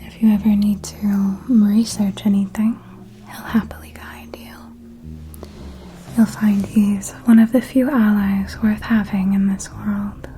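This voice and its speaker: A woman playing an elegant, knowing vampire mentor in an ASMR roleplay, in a soft, breathy, genteel whisper. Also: mid-range voice, flat delivery, slight background noise, close to an everyday voice. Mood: cautioning, proud. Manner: slow, deliberate, genteel, intimate. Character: elegant female vampire mentor, possessive, knowing, quietly proud.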